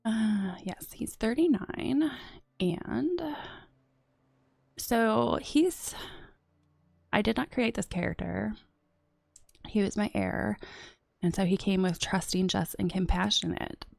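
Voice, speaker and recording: A woman streaming a strategy game and chatting casually, a bit sniffly from a runny nose, often dropping into soft whispered asides. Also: medium pitch, slow, creaky, long pauses, no echo. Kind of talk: casual gameplay commentary.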